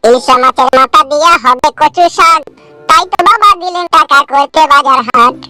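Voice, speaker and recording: A woman's voice: high voice, normal speed, sharp tone, faint music behind. A woman voicing a cheeky, teasing young son in a comedy cartoon, mocking his father colloquially.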